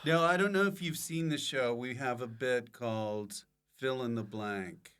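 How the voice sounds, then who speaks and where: A man's voice: medium pitch, measured pace, background noise. A man hosting a casual comedy interview as a podcast host.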